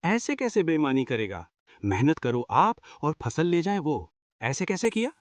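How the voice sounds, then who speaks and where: A man's voice: fairly high pitch, big pitch swings, measured pace, no echo. A man voicing a clever young son from a village in a cartoon story, who talks in a confident, reassuring way. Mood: concerned.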